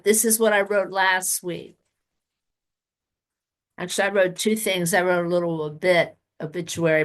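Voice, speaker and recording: A woman poet reading a personal piece at an online open mic in a plain, measured way. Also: medium pitch, fairly bright tone. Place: online video call.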